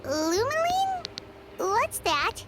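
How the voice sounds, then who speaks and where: A tiny, childlike game sidekick. The voice is extremely high and swoops wildly, outspoken and inquisitive. Faint noise sits behind it. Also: female voice, huge pitch swings, very slow, full character voice. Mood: puzzled. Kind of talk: video game voice acting.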